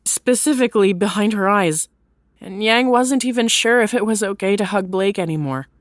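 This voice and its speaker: A woman's voice: mid-range voice, moderate pace, no echo. Woman narrating a story, very dry recording. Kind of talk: scripted third-person story narration.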